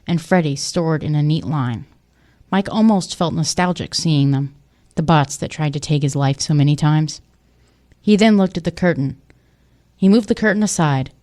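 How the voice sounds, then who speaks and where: A woman's voice: low voice, a little mellow. A woman reading scripted narration for an audio drama in the past tense.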